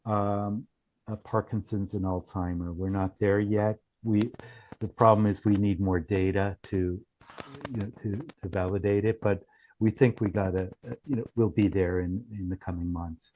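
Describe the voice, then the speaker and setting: A man presenting as a company founder in a business pitch on a group call, speaking haltingly with frequent restarts. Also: a little mellow, dry recording, highs cut off. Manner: halting, often restarts phrases. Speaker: startup founder presenting his product.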